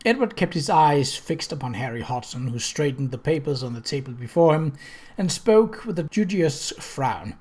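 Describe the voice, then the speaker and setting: A storyteller reading a novel as an audiobook, in a measured, literary narrative style. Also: mid-range voice, expressive, darkish tone, breathy edge. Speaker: audiobook reader.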